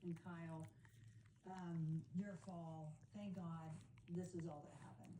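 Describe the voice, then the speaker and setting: A woman's voice: on the low side, fairly even intonation, slow, dark tone. A woman making casual spoken remarks, recorded from far off in a noisy, echoey space. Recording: distant, echoey, noisy phone-video clip.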